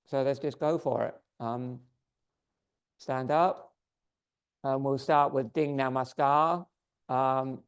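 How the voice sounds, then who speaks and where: A male yoga teacher in a studio, talking a class through postures and breaths in calm, steady steps. His voice is sitting at an ordinary middle pitch, a little worn as he is losing it. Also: clear, resonant tone. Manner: gives calm, step-by-step posture and breath cues.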